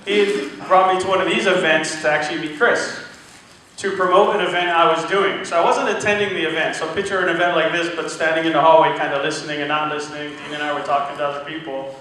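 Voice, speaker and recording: A man's voice: on the high side, steady tone, quick pace, sharp tone. A man giving a casual testimonial speech to a room at a business event, telling his story with quoted dialogue. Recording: echoey room from far off, faint noise.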